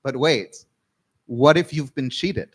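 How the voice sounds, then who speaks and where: A man from Canada delivers a keynote lecture at an unhurried, deliberate pace. His pitch sits in the middle, and he speaks in measured phrases with frequent pauses. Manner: measured, pausing for interpretation.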